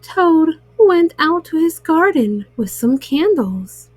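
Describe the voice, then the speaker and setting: A woman narrates a children's storybook as a storyteller. Her voice is high and her pace is extremely unhurried, with a dry, close sound and little room around it. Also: sing-song, a little mellow, dry recording, low-quality recording. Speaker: storyteller reading children's books.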